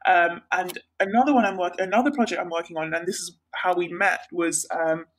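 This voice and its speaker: A young woman from Sri Lanka, a healthcare researcher, answering interview questions in a bright, chatty way with many pauses and restarts. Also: lowish voice, fairly even intonation. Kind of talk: casual interview.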